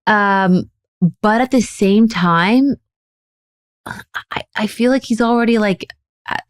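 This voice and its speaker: A woman's voice: medium pitch, clear, resonant tone, no echo. Upbeat woman podcast host, dry studio sound. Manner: upbeat, laughing, quick talker. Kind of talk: casual podcast chat.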